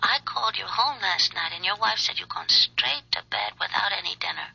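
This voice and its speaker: Husky, piercing young secretary on telephone. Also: female voice, mid-range voice, fairly quick, bright, forceful tone, heavily acted. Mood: amused, coy. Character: young office secretary, breezy and politely evasive. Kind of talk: 1970s radio drama acting. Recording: tinny telephone voice, dull radio recording.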